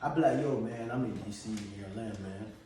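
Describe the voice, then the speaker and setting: A man's voice: lowish voice, fairly quick, warm tone, slight background noise. A young man with a Jamaican accent hosting a podcast, bantering in a playful, teasing way. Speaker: podcast host. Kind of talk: casual podcast chat. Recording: echoey room, mic at a distance.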